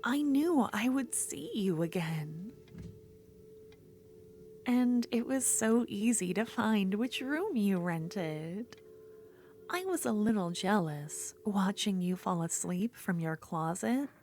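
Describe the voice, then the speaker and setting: A woman playing an obsessive, unhinged female duelist rival in an ASMR fantasy roleplay, speaking intimately and often slipping toward a breathy whisper. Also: mid-range voice, expressive, slow pace, darkish tone, soft background music. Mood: brooding, gloating.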